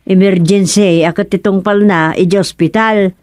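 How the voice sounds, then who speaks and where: A woman's voice: medium pitch, steady tone, moderate pace, a little mellow, no echo, studio quality, lightly acted. A woman playing a talkative, fussing village woman in a radio comedy-drama, with an Ilocano accent and an exclamatory, questioning way of talking. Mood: animated, urgent.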